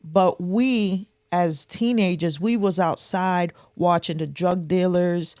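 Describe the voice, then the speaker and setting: A woman's voice: on the low side, fairly even intonation, takes it slowly, a little mellow, no room sound, clean studio sound. A middle-aged woman from the Boston area chatting candidly as an interview guest, often repeating herself for emphasis. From Boston area, Massachusetts. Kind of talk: casual personal reminiscence.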